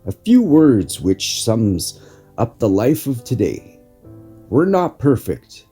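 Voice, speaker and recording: A man hosting a podcast of inspirational readings, speaking earnestly with deliberate phrasing. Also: mid-range voice, big pitch swings, mellow, slightly rough, soft background music. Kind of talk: inspirational spoken article reading.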